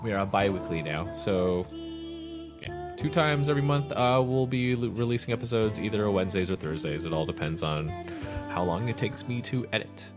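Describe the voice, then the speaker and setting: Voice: low-pitched, normal speed, music in the background. A podcast host chatting casually in a rambling, jokey way, often self-correcting. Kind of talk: casual chat.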